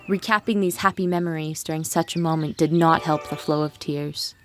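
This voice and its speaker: A woman's voice: low voice, fairly even intonation, a little fast. A woman reading scripted narration for a dramatic fan fiction story, with music playing behind her. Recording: music bed with birdsong behind.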